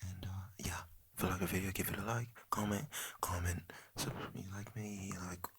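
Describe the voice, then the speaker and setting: A man's voice: low-pitched, little pitch movement, moderate pace, noisy background. A young man whispering a rambling ASMR chat to his viewers in a put-on British accent, often losing his train of thought. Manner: soft whispering, rambling and easily sidetracked. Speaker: ASMR creator.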